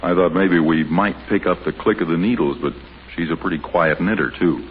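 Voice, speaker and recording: A man's voice: low voice, a little fast, slight background noise. An American man narrating a 1950s radio sitcom as announcer, genial and wry. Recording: muffled old radio broadcast.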